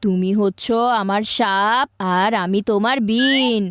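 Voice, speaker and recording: A woman voicing an excitable, scheming young boy, a bossy older brother, in a cartoon comedy. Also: medium pitch, unhurried, clear, resonant tone, no echo, studio quality, close to an everyday voice. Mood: commanding. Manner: animated, stammers when flustered. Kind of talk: cartoon dubbing in Bengali comedy.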